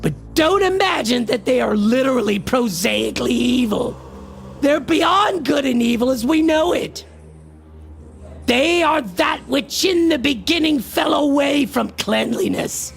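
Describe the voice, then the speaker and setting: A man's voice: extremely high pitch, lively intonation, a little slow, creaky, faint music behind, heavily acted. A male actor playing a frantic, obsessed occultist in a horror radio play, declaiming in a theatrical, grandiose style. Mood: fevered intensity.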